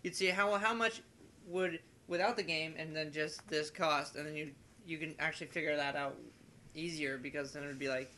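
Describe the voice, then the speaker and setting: A man's voice: fairly high pitch, fairly even intonation, moderate pace, sharp tone. A young man chatting casually about games as a podcast guest, rambling and rephrasing himself as he goes. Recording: amateur podcast, clear background noise.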